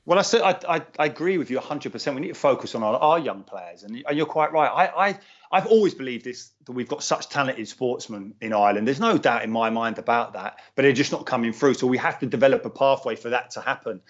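A middle-aged man from London speaks quickly and with conviction. He keeps restarting his sentences as he argues his point in a radio interview. The sound is dull and lo-fi, like a phone line. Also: medium pitch. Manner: earnest, firm, frequent restarts. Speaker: former footballer, radio guest.

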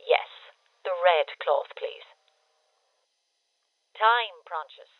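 A woman playing a brisk, long-suffering radio producer in an audio drama, clipped and businesslike over a filtered studio talkback. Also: on the low side, lively intonation, no echo, phone-quality sound, heavily acted. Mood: weary, brisk. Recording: band-limited radio talkback line.

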